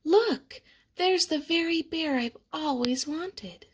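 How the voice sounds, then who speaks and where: A woman's voice: sits high, slow, voice pushed up. A woman voicing an eager, sweet and polite little girl in a storybook. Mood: pleading delight.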